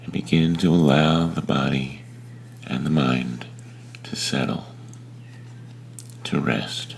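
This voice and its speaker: A man leading a guided meditation in a gentle, unhurried manner with long pauses between phrases. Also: rumbling low, fairly even intonation, vocal fry, a little hiss or hum. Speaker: meditation teacher.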